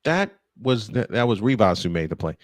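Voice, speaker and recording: A man's voice: fairly low pitch, sing-song, normal speed, no room sound. A man calling baseball play-by-play live, mixing it with casual chat in a folksy, exclamatory way.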